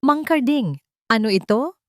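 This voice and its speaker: A woman's voice: on the low side, animated, moderate pace, no room sound, clean studio sound, close to an everyday voice. Woman voicing a haughty, sweetly cutting Filipina heiress. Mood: sweetly sharp. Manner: sweet but sharp, imperious. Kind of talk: audio drama character reading.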